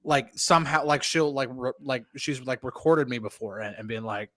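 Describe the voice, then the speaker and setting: A man's voice: mid-range voice, normal speed, no echo, clean studio sound. A man from northern New Jersey co-hosting a sports podcast, talking casually and rambling with many fillers.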